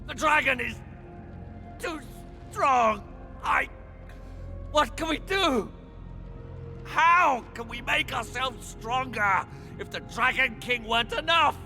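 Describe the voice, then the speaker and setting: An actor playing an earnest, driven knight in a fantasy video game, with an urgent, emphatic delivery. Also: male voice, very high voice, lively intonation, slow pace, long pauses, bright, forceful tone, soft background music, exaggerated voice. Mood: despairing. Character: earnest, driven male knight, vengeful young warrior. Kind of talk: dramatic video game acting.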